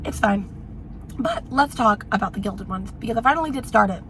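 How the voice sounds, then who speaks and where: Hoarse young woman vlogging from a car. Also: medium pitch, brisk, a bit bright, slight background noise, rough recording. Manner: chatty, repeats herself, mock-dramatic sighs of sadness. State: hoarse, voice mostly gone. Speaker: book vlogger. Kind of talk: casual vlog chat. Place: in a car on the road.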